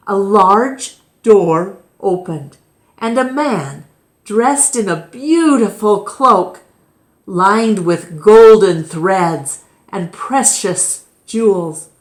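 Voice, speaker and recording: A woman reads a children's story aloud in an expressive storytime style. She takes her time, phrasing things deliberately and dramatically, and the room around her is audibly echoey. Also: mid-range voice. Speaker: storybook reader, storytime host.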